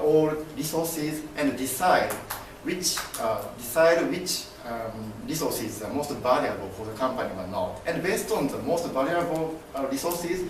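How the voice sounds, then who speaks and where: A young man from Japan with a Japanese accent gives a class presentation as a business student, halting and filling pauses as he explains. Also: medium pitch, a little hiss or hum. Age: young adult. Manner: halting, many fillers and restarts, gestures broadly. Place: classroom with projector screen. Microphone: far camera. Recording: echoey classroom from far off.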